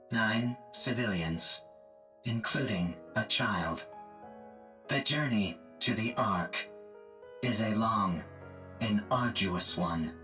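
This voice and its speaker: An actor playing a calm, emotionless male combat support robot who speaks in flat, precise, formal phrases. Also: fairly low pitch, full character voice.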